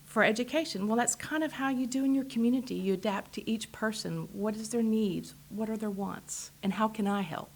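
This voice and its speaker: A woman's voice: a little high, brisk, hardly any pauses, a little mellow. A woman from Texas with a Texan accent, answering interview questions as a political candidate in an earnest way. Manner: earnest, repeats short affirmations.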